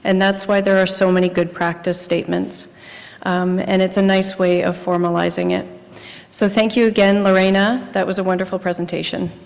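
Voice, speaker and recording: A woman's voice: on the low side, level tone, moderate pace, creaky, big-room sound. A woman moderating a conference, thanking a presenter and introducing the next one. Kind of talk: introducing speakers. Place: large conference hall.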